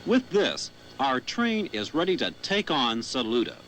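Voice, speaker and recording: Animated male film narrator over train noise. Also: a little slow, low-quality recording. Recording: clear train noise and horn behind. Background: train rumble and horn.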